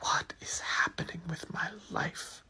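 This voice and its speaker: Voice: medium pitch, big pitch swings, measured pace, fairly bright tone, husky, pitched below the performer's own voice, full character voice. A voice actor playing an earnest, awkward young man who gets flustered.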